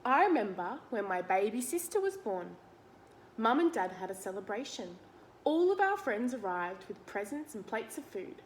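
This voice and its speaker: A woman's voice: fairly high pitch, big pitch swings, moderate pace, a little hiss or hum. An Australian woman reading a picture book aloud to young children, expressively, talking to them directly with simple explanations and questions.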